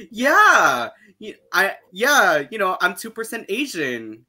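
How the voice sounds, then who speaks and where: A man's voice: high-pitched, wildly swooping pitch, slow pace, bright, forceful tone. A man hosting a casual podcast in a playful, theatrical, cheeky way. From Canada. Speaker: podcast host.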